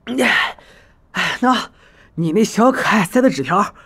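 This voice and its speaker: A man's voice: sits high, lively intonation, unhurried, vocal fry, fairly bright tone, breathy edge, exaggerated voice. A man playing a cheeky, nosy younger brother in an audio drama, playful and needling. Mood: teasing.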